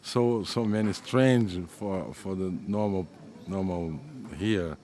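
A Brazilian man talks slowly about his music in careful, broken English. His voice sits low, and his phrases stall and restart as he hunts for words. Faint background ambience runs under the talk. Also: mellow, a little hiss or hum. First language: Portuguese. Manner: halting, many restarts, searching for English words. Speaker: musician being interviewed. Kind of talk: casual interview.

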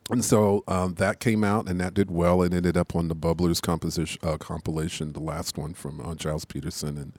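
A man in a casual interview, talking about his music in a relaxed, halting way with many pauses. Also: sits low, no echo. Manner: relaxed, hesitant with many ums. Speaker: musician guest.

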